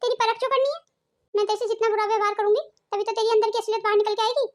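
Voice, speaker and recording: A woman's voice: very high voice, little pitch movement, very fast. A woman voicing a melodramatic, scheming yet guilt-ridden middle-aged Indian mother-in-law in a Hindi cartoon family drama.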